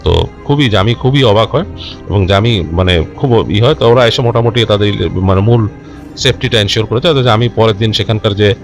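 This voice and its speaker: A man telling a horror story informally and unscripted, in a halting, conversational way with repeated phrases. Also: lowish voice. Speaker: storyteller of a ghost story show. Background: background music.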